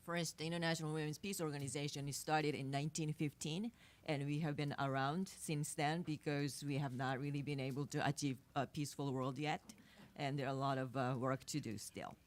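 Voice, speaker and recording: Japanese woman, 50s, non-native English, low-pitched, hesitant and soft-spoken at a press conference podium. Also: steady tone, fairly quick, few pauses. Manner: hesitant, many fillers and restarts, soft-spoken with nervous laughs. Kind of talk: unscripted press conference remarks. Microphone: podium microphone.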